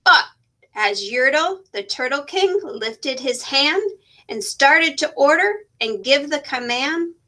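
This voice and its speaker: A woman reading a rhyming children's story aloud as a teacher would, with expressive narration. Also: high-pitched, takes it slowly, low-quality recording.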